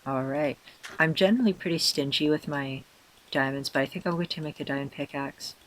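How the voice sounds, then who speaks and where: A man with a hoarse, tired voice, self-consciously rambling through casual gameplay commentary with many fillers and restarts. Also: a little high, a little fast, fairly bright tone, slight background noise, low-quality recording. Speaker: let's player.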